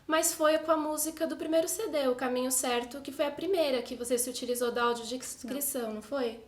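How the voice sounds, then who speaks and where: A woman's voice: sits high, a little fast, reverberant. A woman with a Brazilian accent co-hosting a casual interview show.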